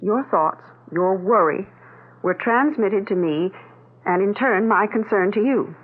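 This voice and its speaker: A woman's voice: mid-range voice, a little slow, close to an everyday voice. An actress playing a worried, knowing middle-aged mother with telepathic gifts in a 1970s radio drama. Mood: earnest, insistent. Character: worried, telepathic mother aged forty-nine, recovering in hospital. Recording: tinny, phone-like vintage radio broadcast.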